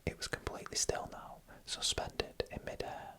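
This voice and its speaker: An ASMR storyteller speaks entirely in a whisper. The sound is bright and sharp-edged. The delivery is hushed and deliberate, drawing out suspense as a scripted narration.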